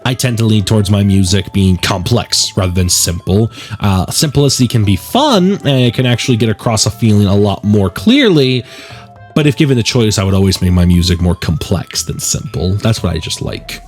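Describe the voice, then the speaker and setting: A man's voice: fairly low pitch, lively intonation, normal speed, faint music behind. A man hosting a gaming video, giving conversational, opinionated let's play commentary and reading out narration. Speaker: gaming video host and musician.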